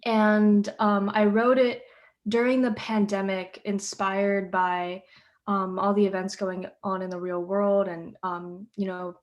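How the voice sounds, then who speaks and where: A woman's voice: mid-range voice, level tone, takes it slowly, dull audio. A teenage girl, a playwright guest on a panel, answering questions earnestly with many fillers over a video call. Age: teens.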